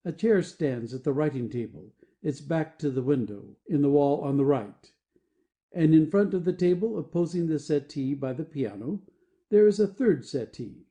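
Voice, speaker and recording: A man with a very dark, mellow voice, pitched a little high, narrates a play's stage directions. He reads the scene in a measured, descriptive way. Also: lively intonation. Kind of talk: scripted narration of a play.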